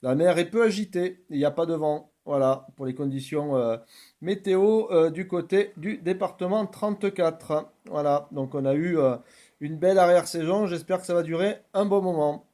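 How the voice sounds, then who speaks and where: A man works as an amateur radio operator. His voice sits a little high but has a mellow, rounded tone. He talks courteously, moving between chat and set radio procedure phrases. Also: moderate pace. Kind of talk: radio contact chat with procedural call-outs. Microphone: radio's original microphone.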